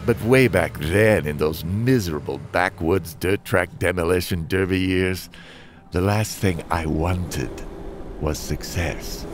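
An actor playing a bitter, self-loathing demolition derby driver, narrating a motion comic with brooding, theatrical, drawn-out phrasing. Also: male voice, deep voice, noisy background. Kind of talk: dramatic first-person comic narration.

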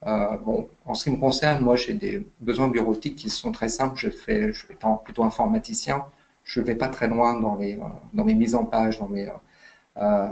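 A man's voice: medium pitch, creaky, darkish tone, low-quality recording. A man giving a webinar talk as invited expert, hesitant, with many fillers and restarts. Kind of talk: webinar explanation.

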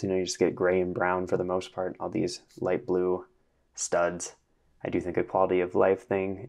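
Guy with a low gravelly voice chatting casually about a build set. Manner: relaxed, conversational, frequent "you know". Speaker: toy review vlogger. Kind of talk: casual product review.

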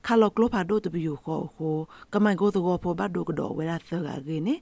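A woman's voice: fairly low pitch, fast talker, gravelly, darkish tone. A woman reading scripted news for the radio. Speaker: news reader.

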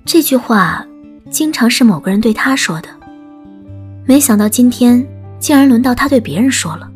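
A woman's voice: fairly high pitch, lively intonation, moderate pace, faint music behind. A woman reading scripted story narration as an audiobook narrator.

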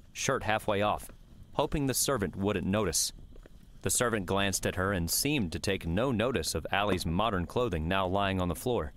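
A man's voice: low-pitched, slight background noise. A man narrating a scripted story in the third person as an audiobook reader.

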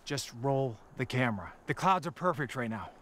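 A man's voice: medium pitch, lively intonation, moderate pace, a bit bright, close to an everyday voice. An actor in his thirties playing an earnest, insistent TV weatherman in a film drama, speaking outdoors. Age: 30s. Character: earnest, eager young male TV weatherman. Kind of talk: film acting, dramatic dialogue. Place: outdoors in an open field. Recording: noisy open-air film dialogue.

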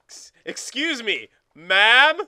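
A man's voice: very high voice, very animated, takes it slowly, sharp tone, clear, resonant tone, no room sound, studio quality. A young man co-hosting a gaming video with casual, playful banter and crude jokes. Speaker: let's play co-host.

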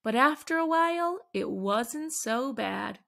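A woman reading a children's picture book aloud, with animated, dramatic emphasis on the exclamations. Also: fairly high pitch, takes it slowly, creaky. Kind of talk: expressive read-aloud storytelling.

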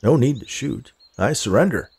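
Man acting a gravelly, smooth-talking land buyer. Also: medium pitch, moderate pace, full character voice. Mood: disarming. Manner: calm, reasonable, folksy. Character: smooth-talking, polite male land buyer, disarming and persistent.

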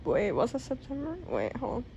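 A woman's voice: on the high side, wildly swooping pitch, fairly quick, vocal fry, a little mellow, a little hiss or hum. A young woman chatting casually at home, picked up by a handheld phone in a living room. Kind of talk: casual chat. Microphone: handheld phone camera.

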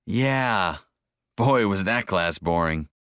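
A man's voice: deep voice, animated, takes it slowly, a bit bright, clean tone, no room sound, studio quality, full character voice. A man playing a casual, easygoing man in a scripted textbook dialogue. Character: casual, easygoing man making small talk.

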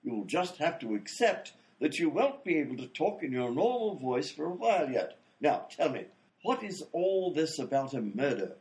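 Man acting a high, dithering gentleman inventor. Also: big pitch swings, normal speed. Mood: polite, oblivious. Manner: polite, fussy, flustered, old-fashioned exclamations. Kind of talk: old-time radio comedy acting.

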